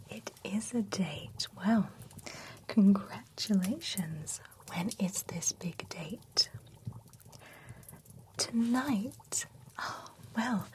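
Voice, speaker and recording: Woman doing a super slow whispery spa lady for monsters, gentle and a bit teasing. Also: medium pitch, slight background noise. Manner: soft unvoiced whisper, teasing. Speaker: ASMR creator. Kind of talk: whispered ASMR roleplay.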